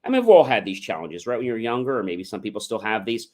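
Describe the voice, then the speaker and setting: A man's voice: fairly low pitch, very animated, fast, a little mellow. A man hosting a motivational livestream, talking in a casual, rambling, conversational way with emphatic asides. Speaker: livestream host, motivational speaker.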